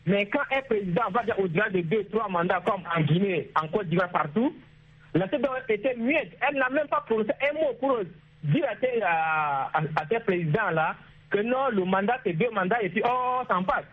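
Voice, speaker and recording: A man with a Chadian accent calling in to a radio show, arguing his political opinion haltingly, repeating words. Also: sits high, expressive, husky. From Chad. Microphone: phone. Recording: muffled, lo-fi phone line.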